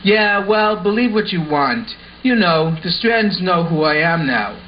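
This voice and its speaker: Man as an earnest, lively schoolboy puppet. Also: high-pitched, unhurried. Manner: earnest, explaining. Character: Lance, earnest excitable schoolboy puppet spinning wild tales. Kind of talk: amateur puppet show acting. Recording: muffled audio with faint background noise.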